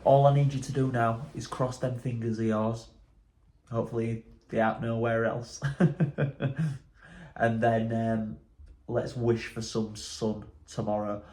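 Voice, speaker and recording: A man's voice: medium pitch, little pitch movement, a little slow, pauses often, a little mellow. A man talking casually to camera as a travel vlogger, chatty and rambling with self-mocking humor.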